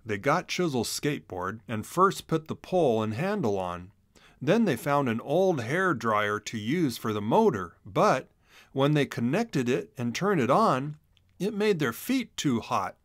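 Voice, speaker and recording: A man narrating a children's storybook in an expressive read-aloud manner. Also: medium pitch, unhurried, dry recording, clean studio sound.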